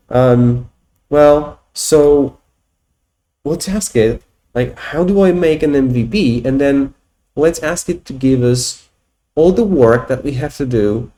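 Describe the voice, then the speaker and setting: A man in his thirties whose first language is Polish talks through a tech demo in a casual, halting way, trailing off and restarting as he thinks aloud. His voice is mellow and dark in tone, at an ordinary middle pitch for a man. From Poland. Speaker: tech video host. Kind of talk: casual screen-share walkthrough.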